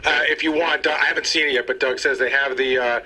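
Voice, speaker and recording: A man's voice: mid-range voice, steady tone, fast talker, sharp tone, breathy edge. A man on a radio show's staff explaining something off the cuff, a little off-mic. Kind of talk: casual off-the-cuff radio talk.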